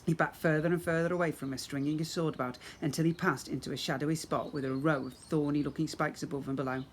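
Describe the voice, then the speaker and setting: A man's voice: on the high side, fairly even intonation, fast. A man narrating a children's story expressively, as a teacher reading to young pupils. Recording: faint background noise.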